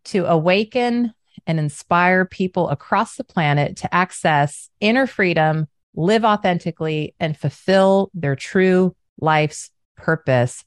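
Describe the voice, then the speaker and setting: A woman's voice: fairly low pitch, a little slow, no room sound, studio quality. An enthusiastic woman hosting a business podcast and introducing her guest. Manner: enthusiastic, upbeat. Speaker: podcast host. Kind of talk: podcast interview.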